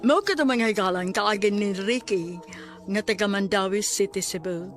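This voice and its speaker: Cebuana radio announcer over music. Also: female voice, mid-range voice, moderate pace. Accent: Cebuano Filipino. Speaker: radio drama host and announcer.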